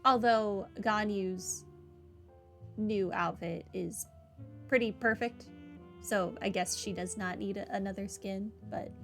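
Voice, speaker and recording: A woman's voice: medium pitch, steady tone, slow pace. A woman giving casual playthrough commentary on a video game with wry, playful asides. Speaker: game streamer. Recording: game music behind the voice. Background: video game music.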